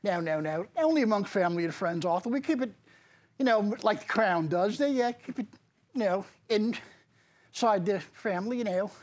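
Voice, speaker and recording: A man's voice: high voice, lively intonation, moderate pace, a little mellow. Man doing a bawdy old English nanny. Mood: rambling. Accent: put-on English. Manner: rambling, flirty, keeps saying Arthur. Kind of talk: improvised comedy bit.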